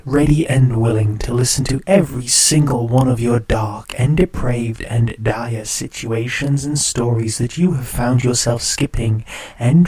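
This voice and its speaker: A man playing a sinister late-night spirit radio host with a hushed, whispery, theatrical way of talking. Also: medium pitch, breathy edge. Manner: theatrical, drawn-out, creepily welcoming. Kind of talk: horror radio drama.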